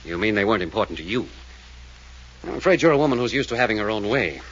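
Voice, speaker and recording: An actor playing a cool, blunt, wry adventurer-detective in an old radio drama. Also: male voice, fairly low pitch, lively intonation, fast talker, fairly bright tone. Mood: confrontational. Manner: blunt, dry, needling, unintimidated. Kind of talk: 1940s radio drama acting. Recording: dull old radio recording, faint noise.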